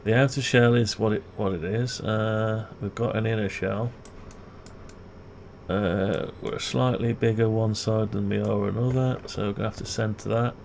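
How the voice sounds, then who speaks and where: A man's voice: lowish voice, moderate pace, gravelly. A man muttering to himself and questioning himself as he demonstrates design work, unscripted and thinking aloud. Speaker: video creator demonstrating CAD design. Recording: steady background noise, keyboard typing.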